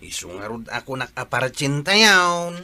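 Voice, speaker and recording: Man as a bright-voiced, wry Ilocano villager. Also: medium pitch, very animated, measured pace, soft background music, exaggerated voice. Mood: questioning. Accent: Filipino, Ilocano. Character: casual village man relaying neighbours' complaints. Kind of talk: amateur comedy skit acting.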